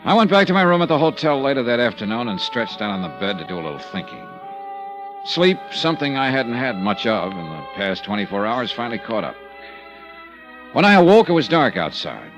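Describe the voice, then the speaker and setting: An actor playing a wry, hardboiled insurance investigator who narrates a 1950s radio drama. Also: male voice, mid-range voice, fairly quick, music in the background, natural voice. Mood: narrating. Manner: wry, hardboiled, lively delivery. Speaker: narrator and lead.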